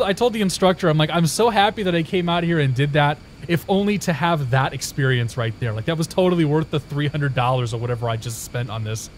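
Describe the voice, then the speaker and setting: Here is a young guy from Indiana, streaming live and chatting loosely with his viewers. His voice sits a little higher than most men's, and he tells stories in a rambling way, doubling back and restarting his sentences. There is a faint hiss of noise under the voice. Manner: rambling storytelling with many restarts. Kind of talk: casual chat with viewers.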